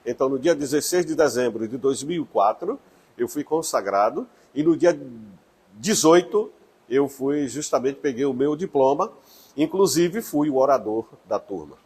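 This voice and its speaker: Husky-voiced male pastor. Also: medium pitch, expressive, a little mellow. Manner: earnest, halting with fillers. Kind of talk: personal testimony.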